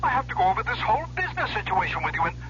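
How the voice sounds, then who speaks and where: An actor playing an anxious, fussing lawyer heard through a telephone in a radio drama. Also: male voice, sits high, big pitch swings, very fast. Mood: agitated. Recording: muffled telephone line, old radio.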